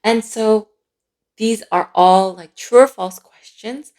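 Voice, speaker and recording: A woman vlogger chatting casually and playfully through a personal story, sounding a little sleep-deprived, with animated asides. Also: mid-range voice. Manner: playful, animated asides with giggles and drawn-out words.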